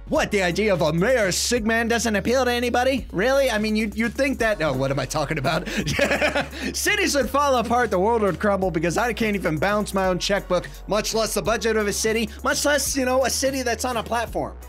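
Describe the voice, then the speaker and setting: A man in his thirties, a gaming vlogger reading viewer comments in casual chat, slightly stuffy from a cold, animated and gesturing in a small foam-lined room. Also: a little fast, soft background music. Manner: animated, gesturing a lot, swings between excited and low asides. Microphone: desk mic, webcam framing at head and shoulders.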